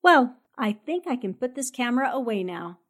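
A woman's voice: fairly high pitch, very animated, moderate pace. A woman playing a breezy, teasing, self-assured newspaperwoman in a 1940s radio drama. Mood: cool.

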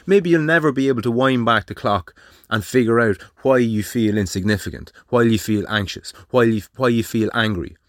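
A man's voice: medium pitch, moderate pace, no echo, studio quality. A man, talking alone on his podcast in a blunt way, swearing freely and firing rhetorical questions. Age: 30s. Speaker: podcast host. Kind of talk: solo monologue.

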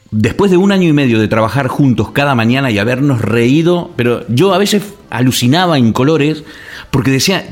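A man from Argentina, a guest on a radio talk show, telling stories in an animated way with many restarts and fillers. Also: mid-range voice. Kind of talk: casual radio conversation.

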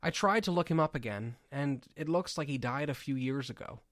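A man's voice: medium pitch, a little fast, a bit bright, dry recording, studio quality, close to an everyday voice. A man playing a nerdy, earnest field researcher rambling into an audio log in a drama, with insects behind him. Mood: wistful, musing. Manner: rambling, hesitant, exclaiming.

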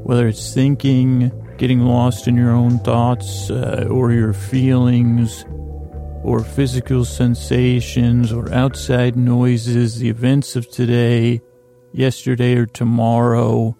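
A man's voice: mid-range voice, monotone, slow pace, creaky, warm tone, soft background music. A man hosting a bedtime podcast, meandering whimsically through a soothing monologue meant to lull listeners to sleep. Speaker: podcast host.

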